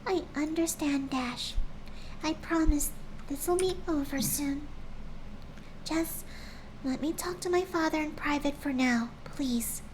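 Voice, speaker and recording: Gentle pony mare, hushed, pleading, slightly high, a woman voicing her. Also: takes it slowly, long pauses, slight background noise, low-quality recording. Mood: pleading softly. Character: gentle soft-spoken female pegasus pony.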